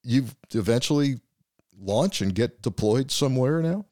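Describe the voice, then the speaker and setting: A man's voice: mid-range voice, lively intonation, measured pace, dark tone, dry recording. Man hosting an interview, dry sound. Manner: brief affirmations, conversational questions. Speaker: podcast host. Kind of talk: interview questions.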